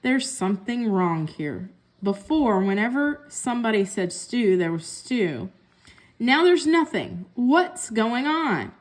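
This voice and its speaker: A woman's voice: a little high, very animated, takes it slowly. Male house cat for a storybook, suspicious grumbler, indignant, hits his words hard woman reader acts it. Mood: suspicious, grumbling. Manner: indignant, emphatic.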